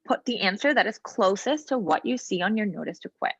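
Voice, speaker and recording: A woman trainer patiently walking a practice client through online software during a role-play interview. She explains each step and often pauses with ums and short asides. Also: mid-range voice, animated, breathy edge, dry recording, lo-fi sound. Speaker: legal aid trainer. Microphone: video call.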